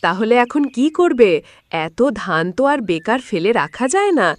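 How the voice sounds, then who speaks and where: Bossy village wife, shrewd and scolding, very lively swings for a cartoon. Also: female voice, a little high, normal speed, dry recording. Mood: urgent. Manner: scolding, emphatic, theatrical. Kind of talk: cartoon moral-story voice acting.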